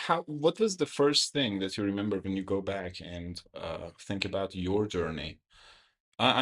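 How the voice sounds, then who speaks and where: A man in his twenties, a podcast guest, who speaks reflectively while telling stories from his past in a casual chat. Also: on the low side. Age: 20s. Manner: reflective, storytelling. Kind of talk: casual conversation.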